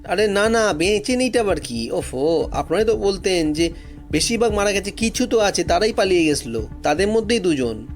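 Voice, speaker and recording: A man's voice: high voice, lively intonation, normal speed, faint music behind. A man narrating a story dramatically in the first person, as a storyteller.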